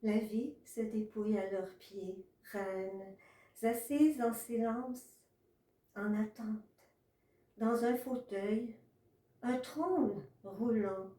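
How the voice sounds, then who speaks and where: Poetry reader: woman, mellow and unhurried delivery, recorded in an echoey room. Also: a little high. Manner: measured, pausing between short phrases. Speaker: author reading own poetry. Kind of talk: literary poetry reading. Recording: echoey room, distant from mic.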